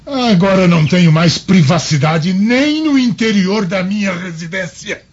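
An actor playing a stern, irritable Roman governor in a radio drama, speaking in a formal, grandiloquent manner. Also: male voice, sits high, expressive, measured pace, heavily acted. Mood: irritated. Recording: muffled lo-fi recording with clear background noise.

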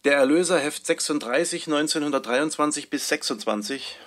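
A man's voice: fairly high pitch, brisk, a bit bright, lightly acted. A man formally announcing titles and dates in a literary radio play. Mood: matter-of-fact. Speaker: announcer of headings.

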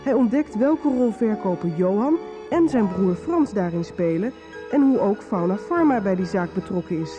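A woman's voice: medium pitch, animated, normal speed, highs cut off. A woman giving scripted, dramatic recap narration for a radio soap. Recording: music behind the voice.

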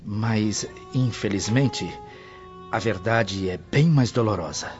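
An actor playing an insincere, scheming old head servant in a radio soap, deferential and insinuating. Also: male voice, lowish voice, slow. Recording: dull lo-fi, music behind.

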